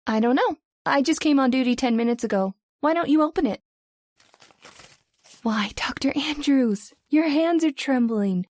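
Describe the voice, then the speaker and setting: An actress playing a chatty, teasing and forthright young hospital receptionist in an old-time radio drama. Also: female voice, high-pitched, normal speed, darkish tone, dry recording, studio quality, lightly acted. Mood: curious. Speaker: voice actor.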